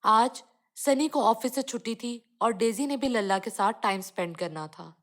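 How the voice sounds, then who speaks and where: A woman's voice: on the high side, moderate pace. A woman reading a scripted children's cartoon story as the narrator.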